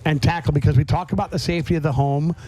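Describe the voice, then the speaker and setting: A middle-aged man with a high voice and a mellow tone hosts a casual podcast chat. He talks in an effusive, rambling way. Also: fairly even intonation, very fast. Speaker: podcast host. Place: on location at a garage.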